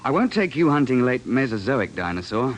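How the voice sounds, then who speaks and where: A man playing a gruff, middle-aged British big-game hunting guide in an old-time radio drama, blunt, brisk and colloquial. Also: mid-range voice, big pitch swings, slight background noise, lightly acted. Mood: blunt, firm.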